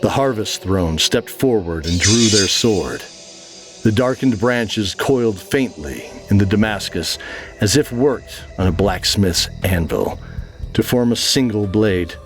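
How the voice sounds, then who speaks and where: A man's voice: fairly low pitch, expressive, a little slow, over music. A man narrating a fantasy audio drama in a scripted, dramatic storytelling style.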